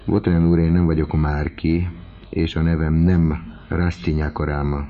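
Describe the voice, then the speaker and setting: A man's voice: extremely low pitch, level tone. A man playing a proud, cold young student. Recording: dull lo-fi audiobook recording.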